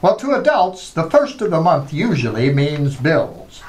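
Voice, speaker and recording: A man's voice: on the high side, sing-song, unhurried. A man announcing in a scripted old-time radio style, introducing and narrating as the show's announcer. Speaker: show announcer.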